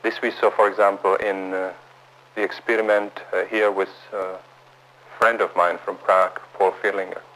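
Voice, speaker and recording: A man, a researcher, explaining his work in measured, formal interview talk on an old film soundtrack. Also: low-pitched, flat delivery, a bit bright, poor audio. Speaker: research psychiatrist being interviewed.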